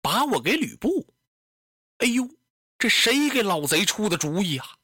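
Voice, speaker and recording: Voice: mid-range voice, sing-song, measured pace, bright, forceful tone, slightly rough, dry recording, studio quality, heavily acted. A storyteller voicing a young female concubine, tearful, pleading and indignant, in a traditional historical tale. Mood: alarmed, scheming. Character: distressed young beauty, a cunning tearful concubine.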